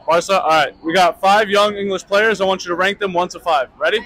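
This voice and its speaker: A young man running quick street interviews outdoors on a city sidewalk, brisk and upbeat, repeating each answer back. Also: high voice, a bit bright, slight background noise. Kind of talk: quick street vox pop.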